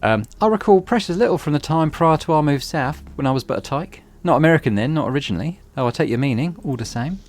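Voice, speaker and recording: A man's voice: fairly high pitch, expressive, fast talker, few pauses, a little mellow, pitched above the performer's own voice. A man voicing a reserved, wry young woman who speaks in formal, clipped Victorian phrasing.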